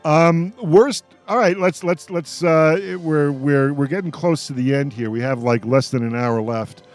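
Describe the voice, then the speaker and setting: A man's voice: fairly high pitch, a little slow, soft background music. A man hosts a casual panel discussion in a wry, mock-exasperated way. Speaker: radio show host.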